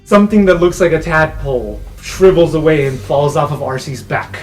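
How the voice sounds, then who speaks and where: Male game master, voice a touch high, improvised descriptive storytelling, prominent music bed. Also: normal speed. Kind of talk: improvised tabletop game narration. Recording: music playing behind the voice.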